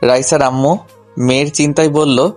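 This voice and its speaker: Casting: male storyteller, average pitch, colloquial and humorous first-person narration, music bed. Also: steady tone, moderate pace, a little mellow. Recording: music behind the voice.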